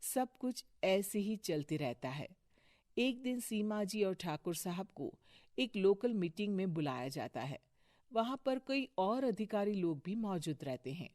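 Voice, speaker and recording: A woman's voice: medium pitch, animated, measured pace, warm tone, no room sound, studio quality. A woman narrating a scripted moral story.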